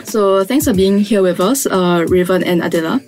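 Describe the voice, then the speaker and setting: A woman hosts an interview podcast in a mid-pitched voice, introducing guests and asking questions. She keeps the talk moving with quick acknowledgements, over faint music. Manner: bright opener, then frequent short backchannels. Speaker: podcast host. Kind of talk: interview hosting.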